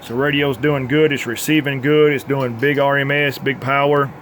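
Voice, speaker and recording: A man's voice: medium pitch, level tone, normal speed, background noise. A man giving an informal, plainspoken workbench demonstration as a radio repair technician. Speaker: CB radio repair technician presenting his tune-up work.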